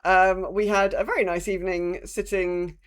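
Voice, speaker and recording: Female podcast co-host, British, medium pitch, casual and anecdotal with dry understatement. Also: little pitch movement, normal speed. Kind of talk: casual chat.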